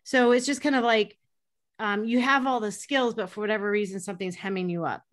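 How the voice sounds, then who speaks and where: A woman in a casual conversation, explaining ideas in a relaxed way with many fillers. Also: mid-range voice, brisk.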